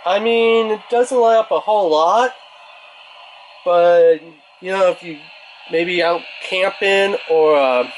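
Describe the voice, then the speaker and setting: A man's voice: high-pitched, takes it slowly, a little hiss or hum, rough recording. A man giving a casual product review in an informal, rambling way, thinking aloud as he goes.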